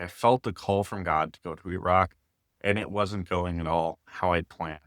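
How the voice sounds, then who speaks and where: Deep-voiced man telling a testimony. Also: fairly bright tone, dry recording, clean studio sound. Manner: casual, conversational storytelling with fillers. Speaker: speaker sharing a testimony. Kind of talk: personal testimony.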